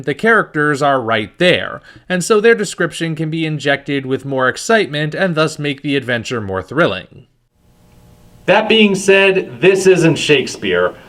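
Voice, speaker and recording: A man's voice: fairly high pitch, fairly bright tone. A man hosting a video review, reading scripted narration with wry, dry asides.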